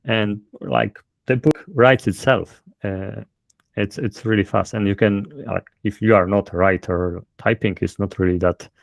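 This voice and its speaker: Gravelly low-voiced Polish-accented man. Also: fairly even intonation, a little slow, dry recording. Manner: hesitant, many fillers, explanatory. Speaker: guest author. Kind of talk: casual interview.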